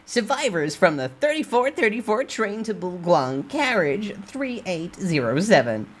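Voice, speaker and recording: A woman's voice: medium pitch, big pitch swings, unhurried, slightly rough. A woman voicing a mocking, showy little goblin-like spirit in a dramatic storytime reading, teasing and exclaiming. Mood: announcing.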